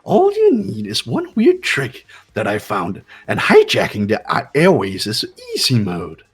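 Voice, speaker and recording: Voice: medium pitch, wildly swooping pitch, a little slow, breathy edge, faint music behind, full character voice. A performer voicing a gleeful, sadistic mascot bear in a fan-voiced video game, taunting and theatrical. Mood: boastful, theatrical.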